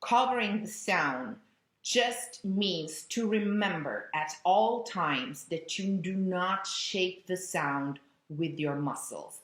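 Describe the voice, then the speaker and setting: Woman, opera coach, animated bright teaching voice, echoey room. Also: fairly low pitch, slow. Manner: expressive, imagery-rich teaching. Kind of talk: vocal lesson explanation. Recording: roomy echo.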